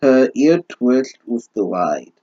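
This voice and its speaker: A man's voice: mid-range voice, very animated, takes it slowly, warm tone, highs cut off. A man giving a mocking reading of fan fiction, breaking in with exasperated, sarcastic, sweary asides. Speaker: host of a bad-fanfiction reading series.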